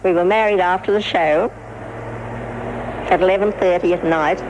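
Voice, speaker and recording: An older Australian woman reminiscing in an interview, telling her story in a measured, deliberate way. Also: on the low side, fairly even intonation. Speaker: interviewee, veteran stage performer. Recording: muffled, faintly noisy archival interview.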